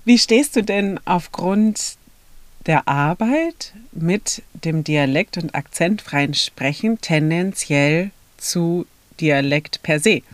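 A woman's voice: lowish voice, lively intonation, slow pace, dry recording. A woman hosting a casual podcast interview, listening attentively and asking questions. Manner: attentive, with many short agreeing sounds. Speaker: podcast host.

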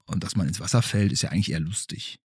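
A man's voice: sits low, racing, darkish tone, husky, dry recording, studio quality. A man hosting a podcast, chatting casually in an interview with a child guest. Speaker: podcast host.

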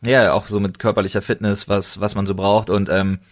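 A man's voice: on the low side, flat delivery, a little fast. A man hosting a casual podcast, recommending things in an enthusiastic, colloquial way. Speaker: podcast host.

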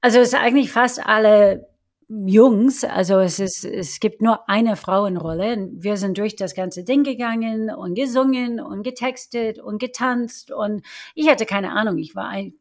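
A middle-aged woman with an American accent and English as her first language, speaking German haltingly with many fillers and restarts as she tells her life story in an interview. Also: medium pitch. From United States. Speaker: guest, actress. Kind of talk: casual interview, personal story.

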